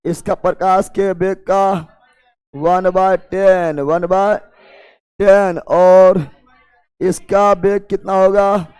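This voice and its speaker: A man with a Bihari accent lectures like a coaching teacher in a high, clean, resonant voice. He goes slowly, repeating key phrases as if dictating and drilling students with short questions. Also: flat delivery, darkish tone, clear, resonant tone. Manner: informal, teasing address to students. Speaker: chemistry teacher for exam coaching.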